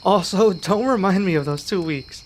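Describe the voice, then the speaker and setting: A man's voice: high voice, moderate pace, a little hiss or hum, close to an everyday voice. A man playing an earnest young werewolf learning magic in an ASMR roleplay, speaking to one listener with some hesitation. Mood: sheepish.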